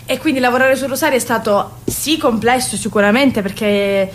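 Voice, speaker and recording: A woman's voice: fairly high pitch, normal speed, slight background noise. A woman from Sicily, an actress, speaking reflectively as a guest in a radio interview. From Sicily, Italy.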